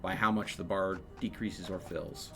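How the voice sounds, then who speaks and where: A man's voice: deep voice, fairly even intonation, a little slow. A man giving casual live gameplay commentary, thinking aloud with playful exclamations. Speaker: video game streamer. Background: video game music.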